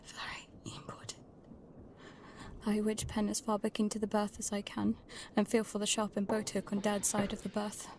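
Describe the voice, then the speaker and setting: A woman plays a protective, wary young girl narrating a scripted audio drama. Her delivery is hushed and intimate, often close to a whisper. The pitch stays fairly even with some creak, over faint background noise. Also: normal speed, lightly acted. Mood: tense.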